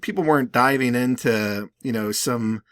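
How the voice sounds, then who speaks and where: A man's voice: mid-range voice, normal speed, dry recording. Casual rambling man, dry close recording. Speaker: video host. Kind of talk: casual commentary.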